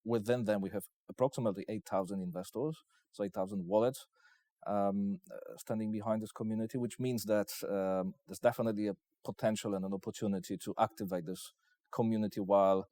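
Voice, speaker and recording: A man with a Polish accent giving interview answers as he pitches his investment company, in hesitant, piecemeal phrases. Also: fairly even intonation, a little fast, warm tone, dry recording. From Poland.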